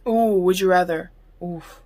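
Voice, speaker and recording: A young woman with a lowish voice chats casually to camera. She is giggly and talkative and answers back to a podcast as it plays. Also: unhurried, warm tone. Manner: chatty, giggly, talks back to the recording. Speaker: YouTuber reacting to a podcast.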